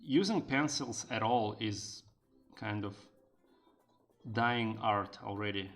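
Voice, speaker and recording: A man's voice: on the low side, takes it slowly, fairly bright tone, faint music behind. A man streaming live, chatting casually, answering chat with lots of fillers and joking in broken learner Japanese. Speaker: streamer and game developer.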